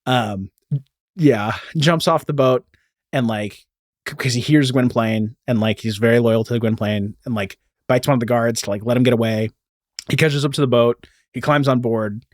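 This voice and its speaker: A man's voice: medium pitch, normal speed, no echo, clean studio sound. A man hosting a podcast, telling a story in a casual, informal way peppered with "like" and "you know.". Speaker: podcast host.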